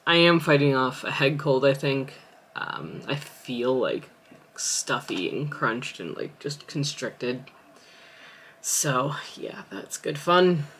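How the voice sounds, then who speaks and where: A woman's voice: a bass voice, animated, slow pace, a bit bright, slight background noise. A young adult woman, an aspiring author, chatting casually at home in a vlog, rambling and correcting herself as she thinks aloud. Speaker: aspiring author vlogging her writing.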